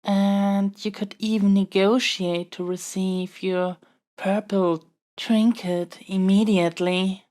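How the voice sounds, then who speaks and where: A woman's voice: medium pitch, steady tone, slow, dark tone, lightly acted. A woman playing a young royal princess in an audio roleplay, speaking in a formal, stately, politely pleading manner. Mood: coaxing, diplomatic. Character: young royal princess, haughty yet smitten, kidnapped by dragon. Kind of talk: scripted audio roleplay acting.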